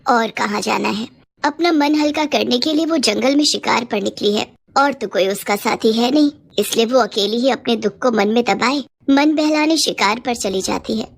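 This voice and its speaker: Woman playing a gentle, worried queen mother. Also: a little high, normal speed, creaky. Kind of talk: Hindi moral story cartoon.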